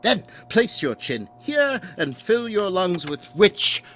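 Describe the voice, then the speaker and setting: An actor playing a whimsical, teasing checkpoint guard in an audio drama, with theatrical, pompous, florid flourishes. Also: male voice, high-pitched, big pitch swings, slow, a bit bright, a little hiss or hum, heavily acted. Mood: soothing, whimsical. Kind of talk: comic audio drama acting.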